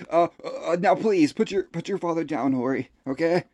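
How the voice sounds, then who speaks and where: Man doing a high, excitable, awkward young guy. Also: unhurried, no room sound. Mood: nervous excitement. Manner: stammering, eager.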